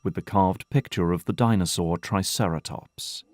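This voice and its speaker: A man's voice: sits low, normal speed, a little mellow, slight background noise. A man narrating an audio drama in a scripted, dramatic storytelling style.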